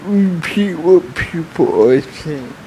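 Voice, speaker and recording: A man speaking haltingly in a group discussion, repeating words as he goes. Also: fairly high pitch, expressive, dark tone, a little hiss or hum, low-quality recording.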